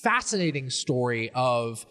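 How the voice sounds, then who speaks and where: A man hosts an interview, asking questions in a polite, measured way. His voice carries a long, reverberant tail. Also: mid-range voice, big pitch swings, fairly bright tone. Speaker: interview host.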